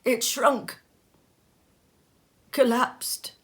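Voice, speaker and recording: A woman reading a story aloud in an expressive, measured and dramatic narrating style. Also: high-pitched. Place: sitting room at home.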